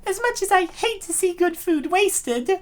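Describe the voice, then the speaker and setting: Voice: very high voice, expressive, unhurried, pitched above the performer's own voice. A performer voicing a polite, breezy young woman, the heroine of an amateur comic dub.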